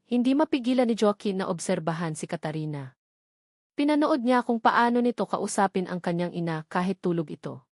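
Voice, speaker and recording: Steady woman narrating a drama story. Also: medium pitch, dry recording, clean studio sound.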